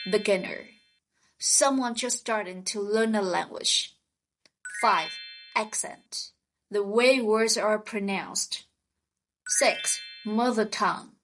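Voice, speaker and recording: A woman co-hosting a scripted vocabulary lesson, speaking in a slow, clear teaching manner. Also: mid-range voice, a bit bright, a little hiss or hum. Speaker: podcast co-host.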